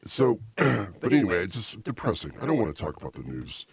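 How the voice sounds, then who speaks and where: A middle-aged male host with a very deep voice and a husky, rough edge to it. He rambles and needles his sidekick with deadpan jabs. He speaks close into a small desk mic in a home music studio. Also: brisk. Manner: teasing, rambling, deadpan insults. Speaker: podcast host. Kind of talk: casual comedic chat. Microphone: small desk mic stand.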